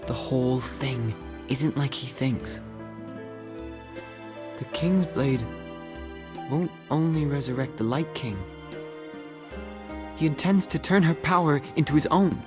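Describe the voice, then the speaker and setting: A man's voice: medium pitch, slow, pauses often, over music, close to an everyday voice. A man voicing a brooding, resolute and melancholy estranged brother in a dramatic video game. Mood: grave.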